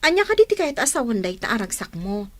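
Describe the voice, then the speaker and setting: A woman's voice: a little high, very animated, fast talker, fairly bright tone. A woman acting a cheeky, affectionate younger sister in an Ilocano Filipino radio drama, eager and teasing. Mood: teasing, tender.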